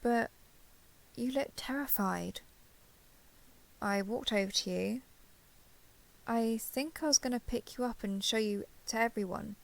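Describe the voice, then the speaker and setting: A woman playing a caring, apologetic young dragon girl giant in an intimate roleplay audio drama, talking gently and hesitantly to the listener. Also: a little high, unhurried, creaky, long pauses, low-quality recording. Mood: sheepish, explaining.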